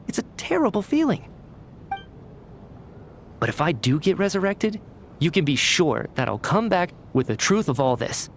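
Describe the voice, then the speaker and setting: An actor playing a calm, rational young male scientist with amnesia, speaking in a composed, reasoned, reassuring way in a video game. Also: high voice, normal speed, long pauses, a little hiss or hum. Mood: resolute.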